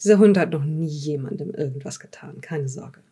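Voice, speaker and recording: A woman playing a police investigator in an audio drama, questioning a witness in a measured, polite and formal way. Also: deep voice, warm tone, slight background noise, lightly acted. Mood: reassuring, polite. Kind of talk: improvised in-character audio drama dialogue.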